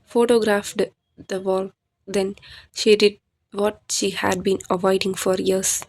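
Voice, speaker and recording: Woman, horror podcast storyteller, very flat intonation, deliberate dramatic phrasing, scripted delivery. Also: mid-range voice.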